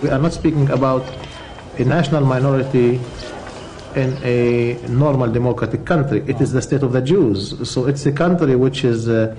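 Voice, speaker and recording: A man's voice: mid-range voice, normal speed, warm tone, rough recording. A man in an interview, laying out his explanation carefully with frequent ums and uhs, while faint ambient noise sits behind him. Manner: explanatory, with hesitant fillers.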